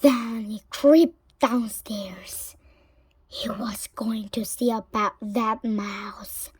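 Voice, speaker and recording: A woman reading a children's story aloud in a dramatic way, sometimes hushed. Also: fairly high pitch, fairly even intonation, drawn-out words, breathy edge, dry recording. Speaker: storybook reader.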